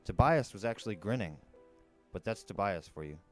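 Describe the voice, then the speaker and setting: A man's voice: fairly low pitch, animated, fairly quick, darkish tone, faint music behind. A man narrating a first-person adventure novel in a dramatic storytelling style. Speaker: storyteller reading an audiobook.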